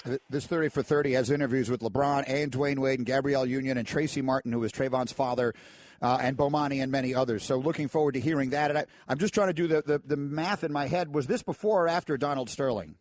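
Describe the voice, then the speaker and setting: A man hosting a sports talk radio show in a studio, upbeat and brisk, often restarting his sentences. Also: mid-range voice, a little mellow. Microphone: studio microphone.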